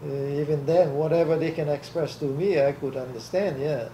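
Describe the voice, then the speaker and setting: A man's voice: fairly high pitch, normal speed, mellow. Older man, from Hawaii, interviewee, plainspoken, faint hiss, roomy echo. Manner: blunt, plainspoken, laughs easily. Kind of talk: oral history interview. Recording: echoey room with faint hiss.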